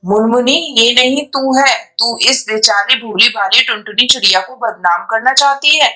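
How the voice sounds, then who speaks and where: A woman's voice: a little high, normal speed, pushed and bright, low-quality recording. A woman voicing an older, exasperated mother-in-law pigeon in a cartoon story, with a dramatic, scolding manner. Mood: angry, scolding. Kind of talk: Hindi animated moral story acting.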